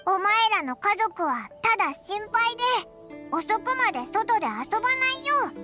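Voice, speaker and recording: A woman voicing a small, childlike fairy companion in a video game, squeaky and expressive, with blunt, boyish phrasing. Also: normal speed. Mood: doubtful, explaining. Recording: background music under the voice.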